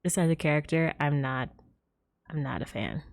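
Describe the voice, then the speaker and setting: A woman's voice: deep voice, lively intonation, moderate pace, vocal fry. A woman hosting a casual gaming livestream, chatting with viewers in a bubbly, giggly way. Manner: bubbly greetings, giggles often. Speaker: live streamer host.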